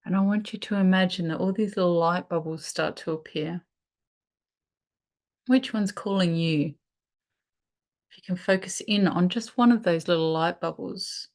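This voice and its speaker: A woman leading a guided meditation, speaking in a soothing, unhurried way with pauses between phrases. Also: lowish voice, a little mellow.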